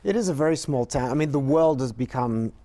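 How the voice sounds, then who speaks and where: An articulate man in his fifties with a British accent, speaking as an interview guest. His mid-pitched voice sounds polished and upbeat, and his sentences are fluent and well rounded. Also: expressive, fairly quick, mellow. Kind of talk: conversational interview answers.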